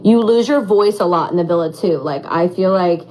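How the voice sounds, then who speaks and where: A raspy young woman answering fan questions in a casual, animated chat, full of "like" and "um". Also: on the low side, moderate pace, slight background noise, rough recording. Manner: animated, chatty, heavy on fillers like "like" and "um". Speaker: former reality show contestant.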